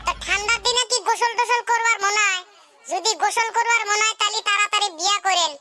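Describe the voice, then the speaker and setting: Very high, squeaky cartoon village bachelor. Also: male voice, fairly even intonation, fast talker, background noise. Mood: teasing. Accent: northern Bangladeshi. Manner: colloquial, teasing, complaining. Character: Kadam Ali, cheeky young village bachelor in a comedy cartoon. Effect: pitched-up cartoon voice. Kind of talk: comic cartoon dialogue.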